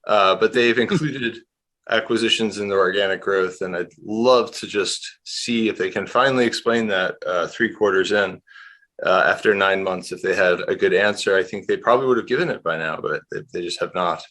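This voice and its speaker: A man's voice: fairly low pitch, moderate pace, fairly bright tone. A man working as an industrials analyst, giving conversational market commentary in a rambling, candid way with dry asides.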